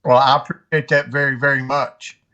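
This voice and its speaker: A man's voice: mid-range voice, flat delivery, brisk, sharp tone, dull audio. A man from North Carolina with an American accent, chatting casually as an interview guest. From North Carolina, United States. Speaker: podcast guest, tournament angler.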